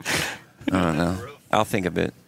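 Low-voiced man in halting casual chat. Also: fairly even intonation, vocal fry. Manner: halting, restarts, dry humor.

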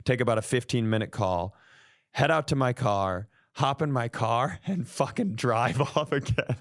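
A man's voice: mid-range voice, animated, measured pace, no echo. Man riffing comically, mock-indignant, close dry sound. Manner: mock-indignant, profane, acting out quoted exchanges. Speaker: podcast host telling a personal story. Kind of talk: comic anecdote, casual banter.

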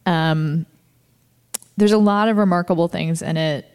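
A woman's voice: fairly low pitch, steady tone, measured pace, a little mellow, clean tone, in a large hall. A woman podcast host in casual conversation, thinking aloud with lots of fillers. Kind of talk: casual interview chat.

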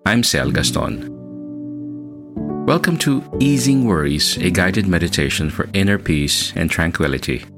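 A man hosting a guided meditation, speaking in a measured, soothing way with long pauses between phrases. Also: low-pitched, expressive. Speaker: meditation podcast host. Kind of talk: slow guided meditation narration. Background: music bed.

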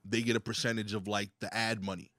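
A man's voice: on the low side, little pitch movement, fast, pushed and bright, no echo. Guest, young man, easygoing slangy talker, dry studio mic. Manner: laid-back, slangy. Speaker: podcast guest. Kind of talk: casual podcast chat. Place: podcast studio.

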